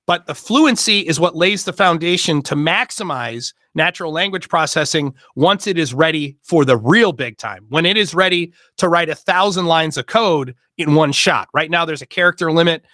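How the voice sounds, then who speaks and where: A man hosts a casual discussion, explaining at length in rapid, emphatic bursts. His voice is a little high and bright, and it sounds dry and close to the mic. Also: no room sound. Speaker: host and workshop leader.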